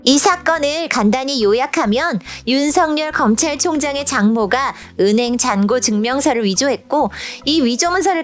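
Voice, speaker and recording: A woman voicing a patient young storybook princess who explains step by step in casual, scripted speech for an animated explainer. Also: fairly high pitch, natural voice. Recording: music bed behind the voice.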